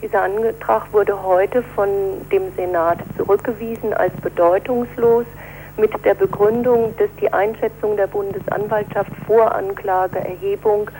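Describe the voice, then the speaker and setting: A woman speaking as a defence lawyer in an interview, explaining things in a measured, formal legal way. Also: medium pitch, steady tone, warm tone, a little hiss or hum, poor audio. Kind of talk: spoken interview answers.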